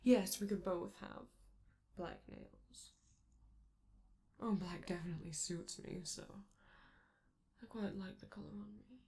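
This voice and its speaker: A woman voicing a flamboyant, affectionate robot entertainer in an intimate ASMR roleplay, softly and hesitantly, slipping toward whispers with theatrical endearments. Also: medium pitch, a little slow, vocal fry, pauses often, a little hiss or hum. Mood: gentle, attentive.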